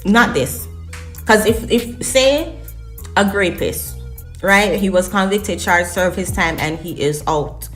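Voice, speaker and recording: A woman's voice: mid-range voice, slow pace, a bit bright, faint music behind. A woman firmly arguing her view in a discussion clip. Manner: firm, argumentative.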